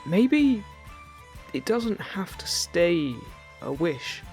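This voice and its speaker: A man's voice: sits high, very animated, very slow, darkish tone, soft background music, full character voice. A man playing a friendly, teasing male fairy in an audio roleplay, chatting casually and reassuringly to the listener. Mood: cheerful, inviting.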